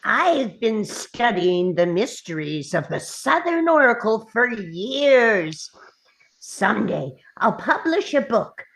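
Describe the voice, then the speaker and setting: A woman voicing a tiny old male gnome scientist, vain, fussy and impatient, in an animated script reading. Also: medium pitch, vocal fry, full character voice, rough recording. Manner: impatient, self-important, fussy. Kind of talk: animated table-read acting.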